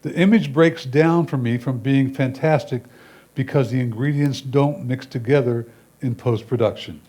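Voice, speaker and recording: A man with a medium-pitched voice reads judges' written comments aloud. He works through each critique as a designated reader at a photo competition. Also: normal speed, warm tone.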